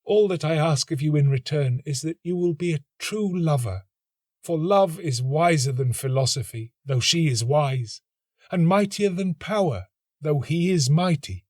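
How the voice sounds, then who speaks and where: A tender little storybook nightingale acted out by a man. She pleads earnestly in a raised, lilting voice with lyrical emphasis. The tone is passionate and selfless, slightly husky, and recorded very dry. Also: fairly high pitch, lively intonation, measured pace, darkish tone, no echo, pitched above the performer's own voice. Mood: ardent tenderness. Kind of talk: storybook character acting.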